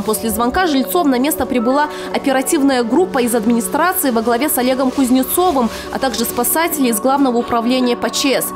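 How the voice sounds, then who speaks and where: Female reporter, high voice, scripted voice-over, music behind. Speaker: TV news correspondent.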